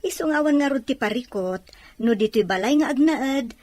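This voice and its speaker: Woman as welcoming, high-voiced younger sister. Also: animated, moderate pace, mellow, no echo. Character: hospitable, family-minded younger sister and aunt. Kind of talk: Ilocano audio drama acting.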